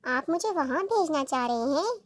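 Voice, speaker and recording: A woman's voice: high-pitched, expressive, normal speed, no echo. A woman voicing a young, innocent little female bird in a cartoon, polite and childlike in manner. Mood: eager, hungry. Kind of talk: Hindi-Urdu cartoon dialogue.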